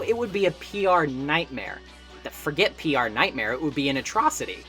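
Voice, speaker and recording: A woman with a low voice narrates a scripted video essay. Her intonation is lively and moves around a lot. She keeps it conversational, slipping in wry asides as she explains.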